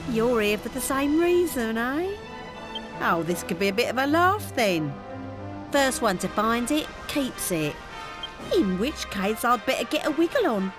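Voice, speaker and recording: Cheeky young female treasure hunter, English accent, animated game delivery, music underneath. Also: fairly high pitch. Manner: slangy, brash, teasing. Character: cocky, teasing young female treasure-hunting thief. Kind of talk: video game cutscene acting.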